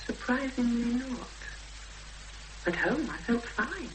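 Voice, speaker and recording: A woman playing an earnest young woman in a 1970s British radio drama, expressive and hesitant when pressed, on an old recording. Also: on the high side, slow pace, slight background noise, low-quality recording. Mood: reflective. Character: Emma, earnest young woman searching for missing sister.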